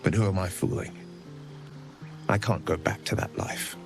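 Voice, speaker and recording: A man's voice: deep voice, normal speed, vocal fry, music in the background. A man playing a gallant, modest adventurer of noble upbringing in a fantasy game, speaking in a courtly, earnest and slightly bashful way. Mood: playful, wistful. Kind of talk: cinematic fantasy game acting.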